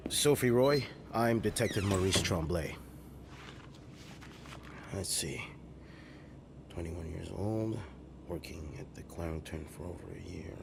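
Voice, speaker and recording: An actor playing a gruff, businesslike 1950s police detective in a video game, questioning in clipped, deliberate phrases. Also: male voice, low voice, noisy background. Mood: stern, probing. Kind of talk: video game cutscene dialogue.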